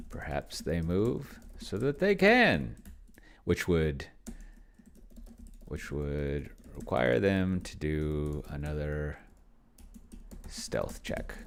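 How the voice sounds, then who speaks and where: Very deep-voiced man thinking aloud. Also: gravelly, a little hiss or hum. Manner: rambling, muttering to himself, reads out text and what he types. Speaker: solo tabletop game player and streamer. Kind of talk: casual screen-share commentary, thinking aloud.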